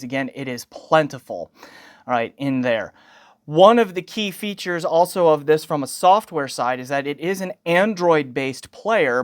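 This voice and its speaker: A man's voice: mid-range voice, dry recording, studio quality. A man giving an informal product review as a channel host, conversational and wordy with technical asides.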